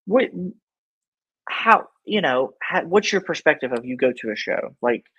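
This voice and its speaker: A man's voice: fairly high pitch, lively intonation, unhurried, bright, forceful tone, slightly rough. A man hosting a casual interview, talking in an informal, slangy and opinionated way. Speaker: podcast host.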